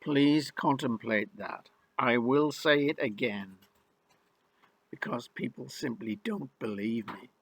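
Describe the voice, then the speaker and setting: Older guy telling his life story, slow, rueful, leans on words, dry close sound. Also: mid-range voice, creaky, husky, dry recording. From Ireland. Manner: wry, rueful and emphatic. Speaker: memoir narrator. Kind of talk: first-person storytelling.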